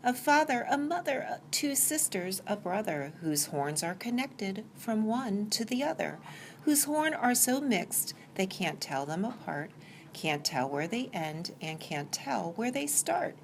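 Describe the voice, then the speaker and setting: A woman's voice: medium pitch, very animated, measured pace. A woman on staff reading a rhyming storybook aloud. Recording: faint background room noise.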